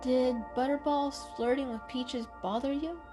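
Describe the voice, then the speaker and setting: A woman voicing a calm, sensible male cat, matter-of-fact and gently teasing, in a storybook reading. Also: sits high, unhurried, music in the background, pitched above the performer's own voice.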